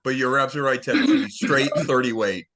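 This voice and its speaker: A man's voice: medium pitch, fast, a bit bright. A man casually moderating a technical group call as its host.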